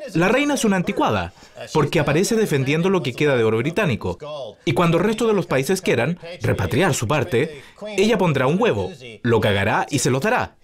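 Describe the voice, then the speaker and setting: A man hosting a financial news show, delivering dubbed commentary with sarcastic, mocking banter. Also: fairly high pitch. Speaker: show host.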